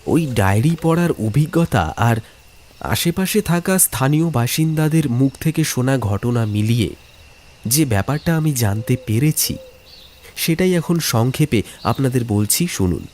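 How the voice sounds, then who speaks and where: A man's voice: mid-range voice, animated, moderate pace, darkish tone, slight background noise. A man narrating a scripted ghost story in the first person, telling it in a dramatic, suspenseful way. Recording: music bed under the voice.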